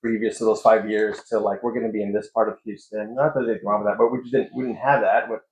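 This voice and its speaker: A man's voice: on the low side, little pitch movement, fast talker, a little mellow. A man speaking as a pastor and interview guest, answering in an informal, reflective, conversational way. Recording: echoey room, dull muffled sound.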